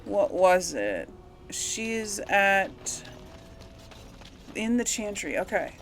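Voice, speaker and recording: A woman's voice: mid-range voice, very animated, extremely slow, slight background noise. A woman giving casual, rambling game commentary, absent-minded and thinking aloud as she plays. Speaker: gamer hosting a role-play playthrough series.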